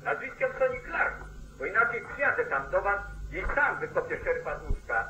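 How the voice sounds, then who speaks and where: An actor playing an irate, demanding farmer calling the sheriff in an old 1940s radio play. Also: male voice, sits high, sing-song, a little fast, bright, forceful tone, full character voice. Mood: angry, threatening. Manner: demanding, blustering. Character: irate, self-interested Southern farmer phoning the sheriff. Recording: old radio play, slightly roomy, telephone-like sound.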